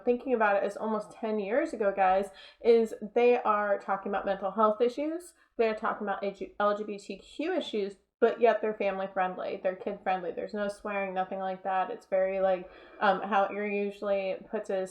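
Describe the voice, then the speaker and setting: A woman's voice: mid-range voice, steady tone, normal speed. A woman hosting a casual interview, speaking in an informal, chatty and affirming way. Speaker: interview host.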